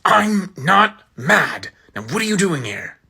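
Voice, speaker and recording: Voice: medium pitch, big pitch swings, unhurried, fairly bright tone, breathy edge, voice pushed down, exaggerated voice. A voice actor playing an earnest, awkward young man who gets flustered.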